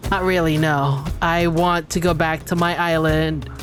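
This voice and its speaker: A woman's voice: low voice, steady tone, measured pace, soft background music. A woman playing a tabletop game, casually improvising what her character does and says. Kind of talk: casual chat, improvised role-play.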